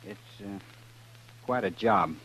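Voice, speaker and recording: An actor playing a cool, wary outlaw prisoner in a 1950s radio western, with terse, guarded delivery. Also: male voice, fairly low pitch, slow, a bit bright, husky, exaggerated voice. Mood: evasive, uneasy. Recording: noisy, humming old radio recording.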